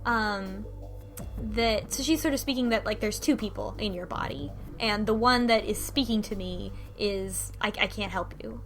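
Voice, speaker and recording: A woman's voice: mid-range voice, moderate pace, faint music behind. A woman co-hosting a podcast, casually recapping a story in a conversational way with wry asides. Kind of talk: casual plot recap discussion.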